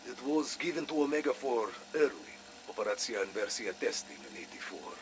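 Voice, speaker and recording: A man playing a shrewd, wary Russian spy in a video game, with a Russian accent and measured, sly phrasing. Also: medium pitch, lively intonation, a little hiss or hum, natural voice, poor audio. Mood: guarded, firm. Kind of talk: cinematic video game voice acting.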